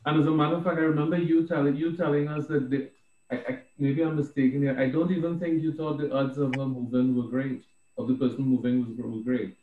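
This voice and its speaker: A man's voice: mid-range voice, flat delivery, fast talker, slight background noise. A man leading a casual prayer group chat, rambling and laughing often, heard over a phone line. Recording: tinny phone line, roomy echo.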